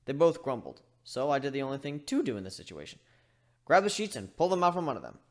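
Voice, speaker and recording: A man giving an expressive amateur dramatic reading of a story. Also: medium pitch, quick pace. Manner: animated, expressive delivery. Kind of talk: dramatic reading of fan fiction.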